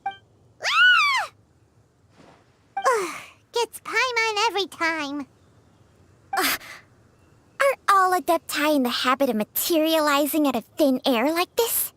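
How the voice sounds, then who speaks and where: Game sidekick: tiny excitable fairy, childlike squeak, hugely animated delivery. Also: female voice, takes it slowly, pauses often, heavily acted. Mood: startled, annoyed. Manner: exclamatory, speaks of self in third person. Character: tiny excitable floating fairy girl companion, cheeky. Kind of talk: video game voice acting. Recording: noisy game stream capture.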